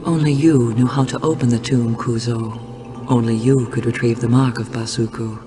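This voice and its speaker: A solemn storyteller of an ancient saga, voiced by a man narrates a video game in a measured, flat-pitched voice. The sound carries a long reverb, with clear noise behind it. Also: natural voice.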